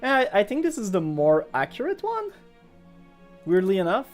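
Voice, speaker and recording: A man's voice: high voice, huge pitch swings, moderate pace. A man from Montreal, a native French speaker with a Quebec French accent, chats casually in English as he streams a game, rambling and hesitant as he thinks aloud. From Montreal, Canada. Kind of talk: casual live gameplay chat. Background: music.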